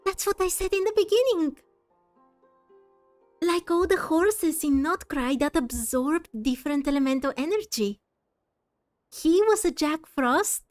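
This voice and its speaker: A woman streamer chats casually with her viewers as she plays. Her voice is high and she speaks slowly and gently, a little haltingly. Soft music plays behind her. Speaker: game streamer. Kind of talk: casual live-stream commentary with chat.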